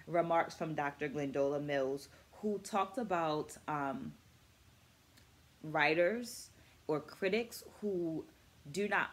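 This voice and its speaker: A middle-aged woman speaking deliberately and articulately to viewers as an educator in a reflective book vlog, stressing key words. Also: low-pitched. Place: small furnished room indoors.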